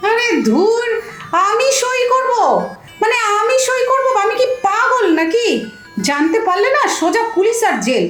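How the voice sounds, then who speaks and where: An exasperated younger bank customer in a comic audio drama, played by a woman, speaks in an extremely high voice. The tongue is sharp and the tone protesting. The sound is roomy, with faint music underneath. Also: measured pace. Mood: fed up.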